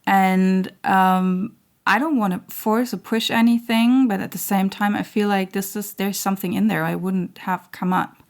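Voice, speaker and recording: A woman hosting her own spiritual channel, talking in a slow, searching way with many fillers and restarts while losing her voice. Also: mid-range voice, steady tone. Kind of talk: reflective spiritual monologue.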